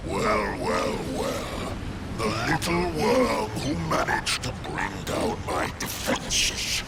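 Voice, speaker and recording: An actor voicing a menacing, boastful sea monster in a video game, growling and grandiose like a theatrical villain. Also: male voice, on the low side, lively intonation, slow pace, heavy background noise, heavily acted. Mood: scornful menace. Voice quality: gravelly, growling.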